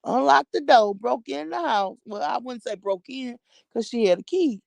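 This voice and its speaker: Gravelly American woman, blunt gossip talk. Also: on the high side, expressive, normal speed, no room sound. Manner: blunt, slangy, profane; emphatic, repeats phrases for effect. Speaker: vlogger commenting on social media personalities. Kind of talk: casual gossip commentary.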